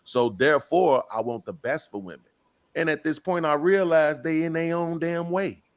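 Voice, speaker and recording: A man's voice: on the high side, normal speed. A middle-aged man on a podcast panel, talking bluntly and profanely in a preachy rant.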